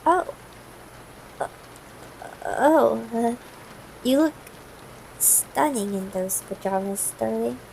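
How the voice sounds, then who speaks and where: A woman playing a tender, yearning, gently commanding CEO ex-girlfriend in an intimate ASMR roleplay, soft-spoken and formal in wording, with hesitations. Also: fairly high pitch, animated, slow, creaky, pauses often, full character voice. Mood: flustered, admiring. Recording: noisy broken-microphone home recording.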